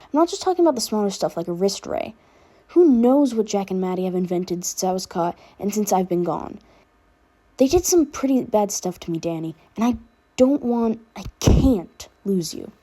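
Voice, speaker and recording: A woman's voice: medium pitch, expressive, normal speed, warm tone, lightly acted. A woman voicing a protective, sardonic teenage boy who talks casually and affectionately in a fan fiction audiobook. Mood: worried, pleading. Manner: casual, rambling teen talk with filler words.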